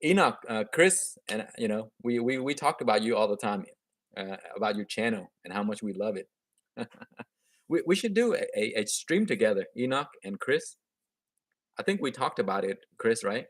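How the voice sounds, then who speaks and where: A man in his thirties speaks in a fairly low voice with a slight Vietnamese accent. He chats with viewers in a relaxed, upbeat way, often restarting his sentences. He talks into a webcam in a room at home, and the sound is dry and close. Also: normal speed, dry recording. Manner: chatty and upbeat, frequent restarts and chuckles. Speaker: gardening channel host. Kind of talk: casual live stream chat answering viewer comments.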